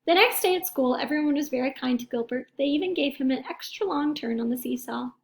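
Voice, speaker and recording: A woman's voice: sits high, a little fast, vocal fry. A woman teacher reading a picture book aloud to children, expressively and talking directly to young listeners.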